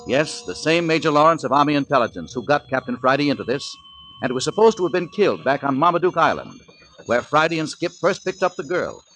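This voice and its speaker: A man's voice: mid-range voice, a bit bright, poor audio. A man narrating a 1940s radio adventure drama in a dramatic scripted style. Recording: old radio recording with faint noise.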